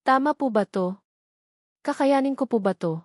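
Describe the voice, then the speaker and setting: A woman's voice: sits high, animated, moderate pace, no echo, clean studio sound, voice pushed up. A woman narrator voicing a dutiful, troubled young provincial daughter in an audio drama, hesitant and respectful. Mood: anguished.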